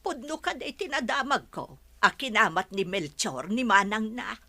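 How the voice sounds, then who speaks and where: An elderly village grandmother who wants to know everything. Her high voice swings widely in pitch, with an Ilocano accent and the exclamations of radio comedy-drama. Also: animated, moderate pace, sharp tone, exaggerated voice. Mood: concerned, probing. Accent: Ilocano, northern Philippines.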